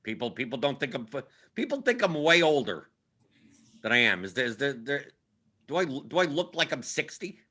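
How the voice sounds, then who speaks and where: Casting: man, early forties, livestream host. Forceful, swooping delivery, repetitive mock-grumbling banter. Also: medium pitch, big pitch swings, moderate pace, husky. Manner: self-deprecating mock complaining, repeats himself, tags sentences with "right?". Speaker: show host. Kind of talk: casual livestream sports show banter. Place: indoors at a computer on a split-screen video call.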